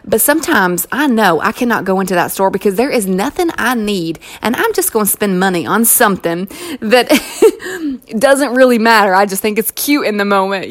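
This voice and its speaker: Encouraging American woman speaker. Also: mid-range voice. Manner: conversational, encouraging, emphatic repetition. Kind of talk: inspirational talk to an audience.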